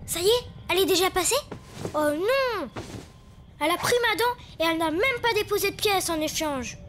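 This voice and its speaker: A woman's voice: extremely high pitch, animated, moderate pace, fairly bright tone, slight background noise. A woman voicing a brave, eager little boy who is a cosmic superhero in a cartoon. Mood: indignant. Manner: eager and spirited. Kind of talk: cartoon voice acting.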